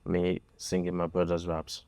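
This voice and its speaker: A man's voice: deep voice, monotone, a little slow, crowd noise. A young man from Nigeria, a musician being interviewed, talking casually and hesitantly with many fillers. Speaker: guest musician.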